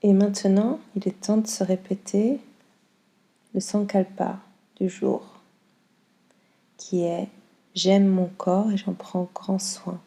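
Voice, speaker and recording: A woman guiding a yoga nidra meditation in a calm, soothing, unhurried way with long pauses. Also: medium pitch, gravelly. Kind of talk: slow guided meditation.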